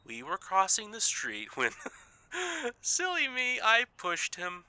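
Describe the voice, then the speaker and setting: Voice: medium pitch, wildly swooping pitch, slow pace, bright, forceful tone, smooth, dry recording, exaggerated voice. An actor playing a smug, playful, unreal best friend in an audio drama, teasing and condescending in a sing-song way. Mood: gleeful, taunting. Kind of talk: audio fiction podcast acting.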